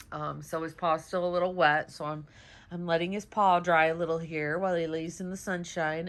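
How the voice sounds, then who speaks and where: A woman with a stuffy, nasal voice from congestion, chatting casually in a home vlog about her dogs, with many "um"s. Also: a bit bright. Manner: high sing-song baby talk to her dogs. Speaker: vlogger, dog owner. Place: home, indoors.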